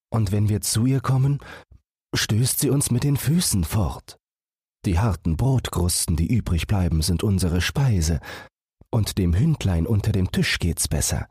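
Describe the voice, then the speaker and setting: A man's voice: lowish voice, darkish tone, slightly rough, dry recording, studio quality, voice pushed up. A man voicing a weary but determined little boy in a fairy tale, with a plaintive, earnest way of talking.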